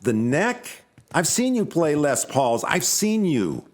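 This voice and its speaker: An actor playing a sardonic, needling rock bandmate in an audio drama. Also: male voice, fairly high pitch, sing-song, takes it slowly, heavily acted. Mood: teasing. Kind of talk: naturalistic audio drama acting.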